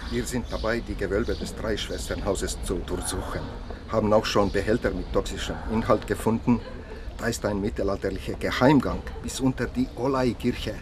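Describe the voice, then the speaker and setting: An actor playing a courteous, businesslike police investigator in a radio drama, speaking in a measured, matter-of-fact way. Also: male voice, lowish voice, fairly even intonation, noisy background, natural voice. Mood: courteous, official. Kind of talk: German radio drama dialogue.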